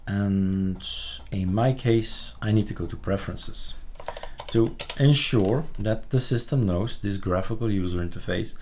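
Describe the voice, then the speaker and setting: Male tutorial presenter, lower register, casual and halting, thinks aloud while demonstrating. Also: a little mellow, slight background noise. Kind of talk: casual screen-recorded software tutorial.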